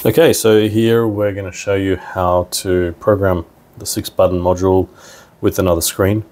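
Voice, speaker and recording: A man's voice: sits low, little pitch movement, moderate pace, a little mellow. A man narrating a hands-on product tutorial in an informal, step-by-step way. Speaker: product demonstrator.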